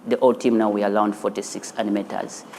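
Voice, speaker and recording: A man, a studio founder and teacher, talking in an earnest, unhurried way with small restarts in a documentary interview. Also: lowish voice, flat delivery, vocal fry, slight background noise. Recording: interview over loud background music.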